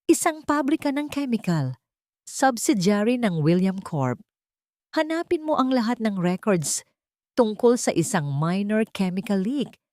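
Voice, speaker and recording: A woman's voice: medium pitch, sing-song, measured pace, darkish tone, no room sound, studio quality, voice pushed up. A woman voicing a determined woman doctor in an audio drama, urgent, commanding and emotional.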